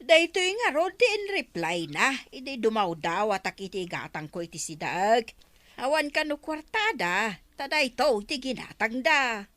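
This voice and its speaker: An actress with an Ilocano accent playing a boastful, stingy older sister, a fussy schoolteacher who exclaims a lot, in a comedy audio drama. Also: female voice, on the high side, very animated, normal speed, fairly bright tone, dry recording. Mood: showing off. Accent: Ilocano, Philippines.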